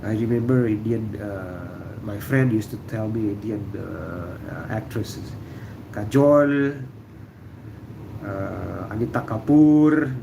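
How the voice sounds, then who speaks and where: A middle-aged man from Indonesia hosting a relaxed livestream, answering viewer comments with lots of fillers and pauses. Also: on the low side, vocal fry, background noise. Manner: relaxed, halting, many fillers. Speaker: livestream host. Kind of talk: casual livestream chat reading viewer comments. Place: room indoors.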